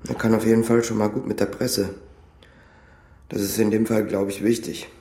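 An actor playing a small-time crook in custody in a German radio drama, emotional and slyly amused, with a halting delivery. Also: male voice, on the low side, little pitch movement, dark tone, natural voice. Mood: hesitant, dry. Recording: echoey room, faint music behind.

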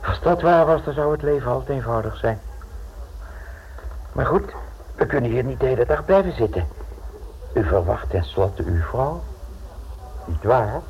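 An actor playing a theatrical Italian mafia enforcer in a Dutch radio play, mock-courteous and insinuating, pausing deliberately for effect. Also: male voice, mid-range voice, expressive, darkish tone, natural voice. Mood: quietly threatening. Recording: dull, noisy old radio play recording.

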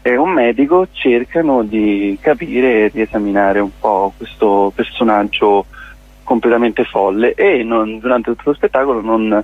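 A young man in his twenties, a playwright and director, explaining his work over a telephone line, thoughtful and halting with restarts. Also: on the low side. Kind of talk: phone interview.

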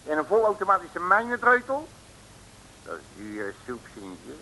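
An actor playing a brash, bossy, street-smart detective in a 1950s Dutch radio serial, full of slangy bluster. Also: male voice, sits high, huge pitch swings, moderate pace, sharp tone, exaggerated voice, rough recording. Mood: conspiratorial. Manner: slangy, blustering, addresses people as "broer". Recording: hissy old radio recording.

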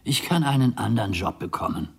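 An actor playing a stubborn, devoted former undertaker in a radio drama, speaking in a halting, earnest way. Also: male voice, lowish voice, animated, vocal fry, a bit bright, husky, heavily acted. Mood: anxious, pleading.

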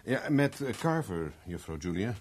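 An actor playing a wry, unruffled private investigator in a 1970s Dutch radio play, bantering casually with a sardonic edge. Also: male voice, lowish voice, very animated, normal speed, a little mellow, husky. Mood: urgent. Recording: noisy vintage radio drama recording.

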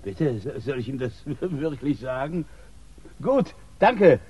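A gruff, sceptical desk sergeant. His brusque speech swings widely in pitch, in 1960s radio play style. The sound is dull and dated. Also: sing-song, takes it slowly, dark tone, full character voice. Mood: deferential. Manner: brusque, dismissive, curt. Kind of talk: 1960s German radio crime drama acting.

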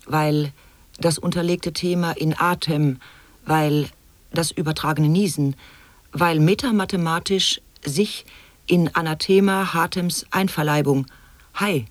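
A woman's voice: sits low, dry recording. An actress playing a formal, hair-splitting woman reciting convoluted, absurd wordplay in an avant-garde radio play.

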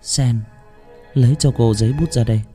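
A man's voice: medium pitch, moderate pace, dark tone, faint music behind, natural voice. A man voicing a frightened young woman who begs through tears in a told ghost story. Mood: weary. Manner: desperate, tearful begging.

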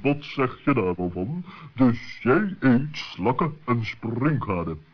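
A man's voice: medium pitch, sing-song, slow, breathy edge, heavily acted. Man as an owl, pompous, hemming, easily offended, mid-range voice, 1960s children's radio play, dull sound. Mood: smug.

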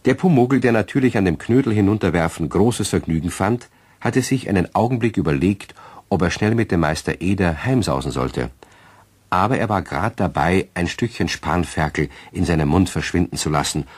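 A man narrating a radio play as a knowing, genial storyteller who speaks confidingly to the listener in a husky voice. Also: deep voice, lively intonation, normal speed, no echo.

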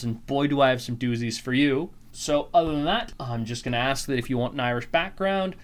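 A young man hosting his own podcast, ranting sarcastically and swearing freely as he mocks a story. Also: medium pitch. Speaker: podcast host. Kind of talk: solo podcast monologue.

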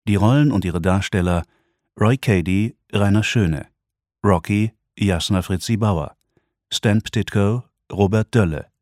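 A male announcer reads radio play credits from a script. His voice is low and recorded very dry. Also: measured pace, darkish tone, no echo.

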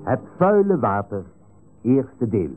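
A man with a Dutch accent narrating a radio serial in a measured, mock-solemn storytelling manner. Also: medium pitch, very animated. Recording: muffled old radio recording. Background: music.

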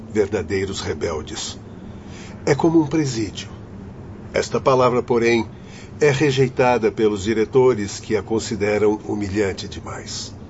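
A man as a firm, low-voiced doctor. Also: a little mellow, close to an everyday voice. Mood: explanatory. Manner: measured, didactic, formal. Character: firm, patient doctor guiding troubled spirits. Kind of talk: radio drama acting. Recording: dull, noisy lo-fi audio.

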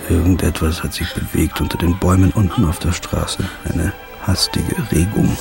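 A man's voice: sits low, flat delivery, normal speed, creaky, over music. A man narrating a first-person crime drama in a weary, clipped, hard-boiled manner. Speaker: narrator and protagonist.

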